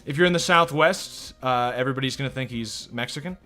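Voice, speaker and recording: A man streaming a video game, chatting loosely and swearing often as he answers his viewers. His voice is bright and forward, with faint game music under it. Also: mid-range voice, quick pace. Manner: profane, rambling, reacting to chat. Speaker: let's play streamer. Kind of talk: casual gameplay commentary.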